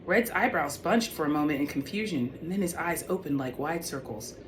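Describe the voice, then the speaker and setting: Low-pitched woman reading, noisy recording. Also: animated, fairly quick. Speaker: author reading own book. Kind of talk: audiobook-style reading of young adult fiction. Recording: clearly noisy background.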